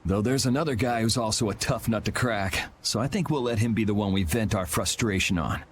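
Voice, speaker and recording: An actor playing a cool, wry young guild vigilante in a video game, speaking in a dry, casual, sardonic way. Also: male voice, fairly low pitch, a little fast, a little hiss or hum, natural voice. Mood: wry, supportive. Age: young adult.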